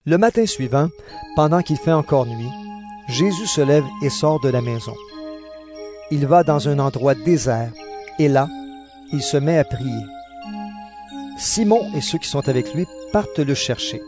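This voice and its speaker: A man's voice: fairly high pitch, expressive, unhurried, pauses often. A man reading scripted narration for a dramatized audio Bible. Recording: narration over clear background music.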